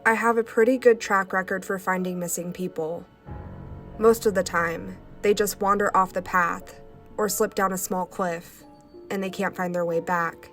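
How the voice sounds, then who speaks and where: A woman's voice: medium pitch, steady tone, normal speed, a bit bright, soft background music. Stuffy-nosed woman reading eerie stories. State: stuffy nose from sinus infection. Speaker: host. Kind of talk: reading first-person stories aloud.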